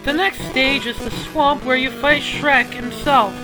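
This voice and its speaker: A woman's voice: high voice, steady tone, a little slow, low-quality recording. A woman narrating a video game review, sweary and exasperated, given to ranting outbursts. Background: music.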